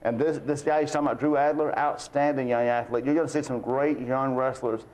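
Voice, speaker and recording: A man's voice: medium pitch, steady tone, brisk, a little mellow, poor audio. Man, forties, mid-range voice, folksy and earnest guest plugging an event in casual talk. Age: 40s. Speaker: guest promoting a wrestling event. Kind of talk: casual chatty promotion.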